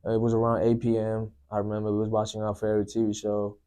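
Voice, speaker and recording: A young man from Sri Lanka, a guest telling his life story in a soft, unhurried way, often filling with "you know, man". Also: lowish voice, monotone, clear, resonant tone. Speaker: guest sharing testimony. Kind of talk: personal testimony, casual storytelling.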